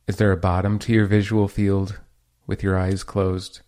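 Man, low voice, meditation guide, gentle measured phrasing, pauses between instructions. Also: little pitch movement.

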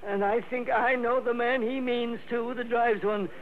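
High-pitched, plaintive little old watchman. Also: steady tone, normal speed. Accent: American. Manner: rustic, repetitive, plaintive way of talking. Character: frail little old night watchman, distraught and vengeful. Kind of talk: old-time radio drama acting. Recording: tinny, noisy vintage radio recording.

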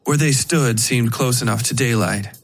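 A man reading dark fiction as a scripted narration, in a measured way with dramatic pauses. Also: mid-range voice, faint music behind. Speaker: narrator of a horror fiction podcast.

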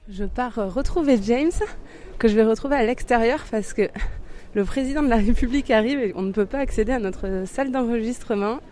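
A woman's voice: a little high, a little fast, mellow. A woman hosting a podcast, asking guests questions in an interview. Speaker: podcast host.

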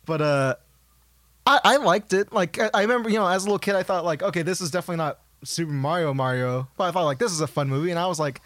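A man's voice: a little high, quick pace, a bit bright, dry recording, clean studio sound. A man co-hosting a podcast, chatting casually in an informal, jokey way. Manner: informal, jokey, breaks into laughter. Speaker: podcast co-host. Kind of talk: casual chat about films and games.

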